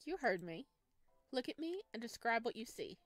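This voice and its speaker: A woman voicing a gentle, self-deprecating young male forest monster from a visual novel, soft-spoken and playfully teasing. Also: fairly high pitch, big pitch swings, normal speed, dry recording, soft background music. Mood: playful, expectant.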